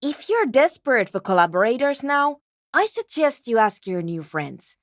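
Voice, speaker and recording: A woman voice actor playing a driven, intellectual woman programmer in a video game, with a brisk, matter-of-fact, technical way of talking. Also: a little high, big pitch swings, no echo, studio quality. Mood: businesslike, impatient. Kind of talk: scripted game character dialogue.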